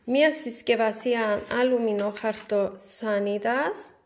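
A woman, chatting to camera and showing her groceries one by one in a casual home vlog. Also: a little high. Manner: chatty, warm sign-off, item-by-item show and tell. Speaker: vlogger. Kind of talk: casual supermarket haul vlog. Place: bright room by a window, indoors.

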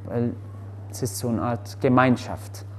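A man's voice: medium pitch, measured pace. Young guy, earnest, some echo in a wooden room, faint hiss. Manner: earnest, slightly broken German with self-corrections. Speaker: interviewee, restaurant worker. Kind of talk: interview. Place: wooden mountain hut restaurant, indoors.

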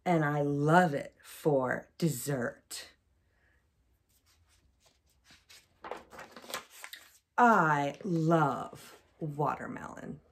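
A woman voicing an excitable, melodramatic young crocodile from a children's picture book. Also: low-pitched, very slow, vocal fry, breathy edge, full character voice. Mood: delighted. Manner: dramatic, exclamatory, melodramatic. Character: excitable, panicky young crocodile who loves watermelon.

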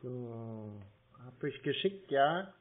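A man's voice: medium pitch, animated, extremely slow. A man talking on the phone as a customer, hesitant and plodding, asking questions as he goes. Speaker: scam-baiter posing as a customer. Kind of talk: phone conversation. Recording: muffled narrow phone line.

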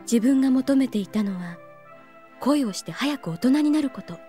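A voice actress playing a gentle, wistful young woman teacher in an anime audio drama, soft and reflective. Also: a little high, animated, normal speed, faint music behind, close to an everyday voice.

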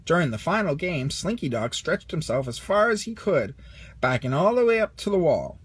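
A man narrating a children's storybook as an expressive read-along. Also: on the high side, moderate pace, husky, no room sound. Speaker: storybook reader.

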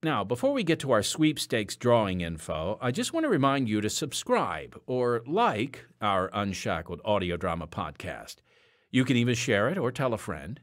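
Lively, low-pitched male podcast host. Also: normal speed. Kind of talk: scripted podcast announcements.

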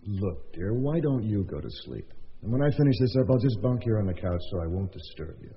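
A stubborn, unromantic husband in a radio play, brushing things off dryly. His man's voice sits fairly low. The audio is a touch muffled. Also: very animated, brisk. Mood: dismissive. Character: stubborn, unromantic writer husband, preoccupied and dismissive. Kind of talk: radio drama acting. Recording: dull lo-fi radio drama audio.

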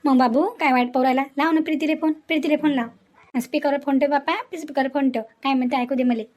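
A woman's voice: high voice, steady tone, fast, a little mellow, natural voice. A woman playing an anxious, doting middle-aged mother in a cartoon family drama, with a Varhadi Marathi accent and an urgent, repetitive, plaintive manner. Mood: urgent, commanding.

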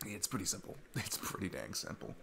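Man acting as a deep-voiced, nervous single dad. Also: expressive, fairly quick, gravelly, background noise. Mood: sheepish. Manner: hesitant, rambling, self-deprecating, chuckling between phrases. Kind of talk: intimate ASMR roleplay, casual chat.

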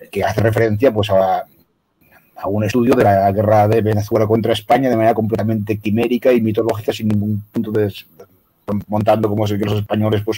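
Man, rapid lecturing delivery, low mellow voice, video call. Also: poor audio. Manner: quick, discursive, lecturing. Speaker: guest researcher.

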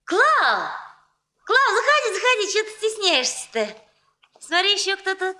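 An actress playing a warm, excitable young working woman in a Soviet radio drama, chatting in a colloquial, gushing way. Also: very high-pitched, moderate pace, slight background noise, full character voice. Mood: excited, affectionate.

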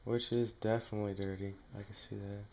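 A man giving a casual hands-on tutorial at home, talking plainly and haltingly as he works. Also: lowish voice, level tone, darkish tone. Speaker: demonstrator in a home how-to video. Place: small dim room indoors at home.

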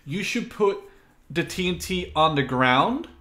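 A man's voice: fairly high pitch, expressive, measured pace, bright, forceful tone. A man in his twenties in casual gaming banter, teasing and swearing freely. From Netherlands. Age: 20s. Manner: profane, teasing. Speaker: gaming channel host.

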